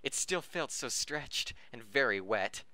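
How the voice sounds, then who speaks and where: A man's voice: medium pitch, expressive, measured pace, sharp tone. A man reading a story aloud as an amateur podcast host, with joking asides and corrections of his own slips. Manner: breaks off to laugh, joke and correct misreadings.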